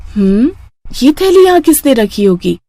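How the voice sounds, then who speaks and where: A woman voicing a kind, humble, pious younger sister and mother in a storybook tale, gentle and earnest. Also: high-pitched, very animated, a little slow, no echo, exaggerated voice. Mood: puzzled.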